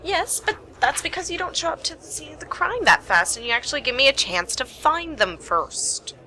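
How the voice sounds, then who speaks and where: A woman's voice: high voice, big pitch swings, moderate pace, pushed and bright, exaggerated voice, low-quality recording. A woman playing a wry, determined young private investigator in an amateur radio play. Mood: curious, guarded.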